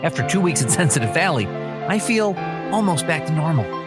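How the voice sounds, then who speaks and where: A man's voice: a little high, very animated, a little fast, loud music behind the voice. A man doing a shaken mock-ad testimonial. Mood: earnest. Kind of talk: parody ad.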